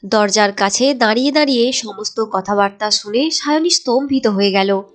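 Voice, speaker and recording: A woman's voice: medium pitch, normal speed. A woman narrator reading a scripted cartoon story.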